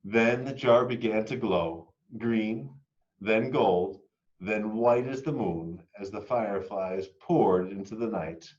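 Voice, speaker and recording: A man reading a children's picture book aloud expressively, moving between hushed and emphatic delivery. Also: lowish voice, slow, dull audio. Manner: dramatic, hushed and emphatic by turns. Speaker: read-aloud storyteller.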